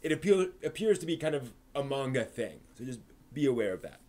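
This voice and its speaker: A man with a mid-pitched voice speaks as a live stream host. He talks informally through a review, backing up to reword his points as he goes. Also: sing-song, brisk, warm tone. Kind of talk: casual live review monologue.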